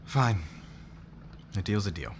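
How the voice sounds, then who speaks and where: A man's voice: fairly low pitch, very animated, slow pace, background noise. A man playing a demanding, possessive boss in an ASMR roleplay, flirtatious yet guarded, with a commanding, intimate manner. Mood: guarded, earnest. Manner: commanding, smug, intimate, swearing.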